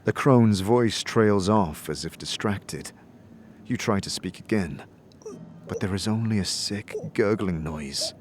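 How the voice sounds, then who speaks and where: A man narrating a dark fantasy audio drama in the second person, with deliberate, suspenseful storytelling. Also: on the low side, slight background noise.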